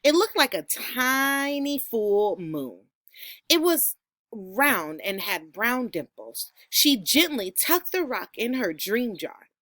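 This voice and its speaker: A woman teacher reads aloud to young children with enthusiasm. Her pitch rises and falls widely and she takes her time, in a close, dry recording. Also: very animated, takes it slowly, pushed and bright, dry recording. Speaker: second grade teacher reading aloud. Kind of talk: expressive children's storybook read-aloud.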